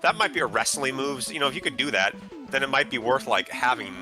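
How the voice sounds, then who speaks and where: Male stream guest, loud voice, casual musing chat, game music clear behind. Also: mid-range voice, animated, fast.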